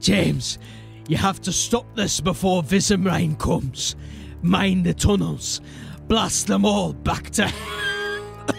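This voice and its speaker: Slow, creaky, dying rebel man, acted out. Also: high-pitched, over music, full character voice. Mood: desperate warning. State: weak, coughing, labouring for breath. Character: dying male anarchist, bitter, urgent, pleading. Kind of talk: fantasy audio drama acting.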